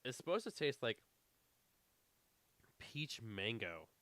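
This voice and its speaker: A man's voice: mid-range voice, sing-song, slow, fairly bright tone, no room sound. A man co-hosting a casual podcast, rambling with lots of fillers, a little congested. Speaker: podcast co-host. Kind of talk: casual chat.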